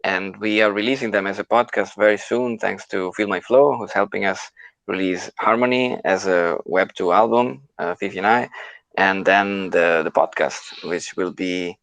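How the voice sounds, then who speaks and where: A man's voice: sits low, normal speed, vocal fry, a bit bright, no echo, rough recording. A man co-hosting a casual live audio chat and moderating speakers in an easygoing, somewhat hesitant way.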